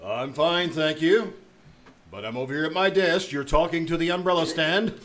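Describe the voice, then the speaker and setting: A man's voice: on the high side, moderate pace, fairly bright tone, natural voice. A man playing a pompous, easily flustered middle-aged school principal in an old-time radio comedy, blustering and hemming. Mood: huffy. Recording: noisy live stage recording.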